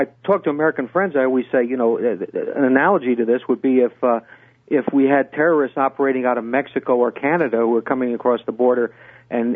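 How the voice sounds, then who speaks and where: A man's voice: mid-range voice, dry recording. Older man, hesitant, over a tinny phone. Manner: measured, many hesitations and restarts. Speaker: guest, former intelligence officer. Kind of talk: radio interview. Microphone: phone call.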